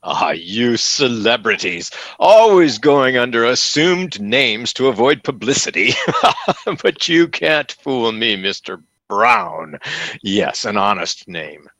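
An actor playing a fawning, pompous store salesman in an old-time radio comedy, with effusive, theatrical flattery. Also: mid-range voice, slow pace, creaky, no echo, full character voice. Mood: knowing, amused.

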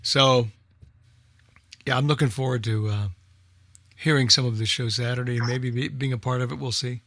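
A man's voice: mid-range voice, steady tone, moderate pace, fairly bright tone. A man presenting a radio show in casual group chat, thinking aloud in a hesitant way.

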